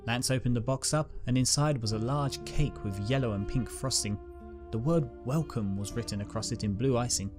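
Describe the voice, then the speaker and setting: A man narrating a fan-written pony story in a calm, steady reading voice. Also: mid-range voice, moderate pace. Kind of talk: fanfic audiobook reading. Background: guitar music.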